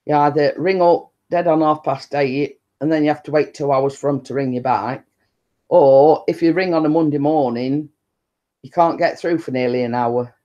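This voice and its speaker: A woman with a deep-toned voice, chatting casually and rambling to her viewers on a live stream. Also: steady tone, moderate pace, warm tone, dull audio. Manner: chatty, meandering, repeats stock phrases and laughs often.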